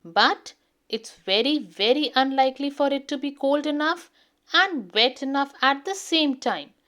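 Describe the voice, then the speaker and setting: A woman's voice: high voice, expressive, measured pace, fairly bright tone. A woman voicing a stubborn, sceptical little boy detective in a children's storybook, emphatic and argumentative. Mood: arguing. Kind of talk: children's storybook character reading.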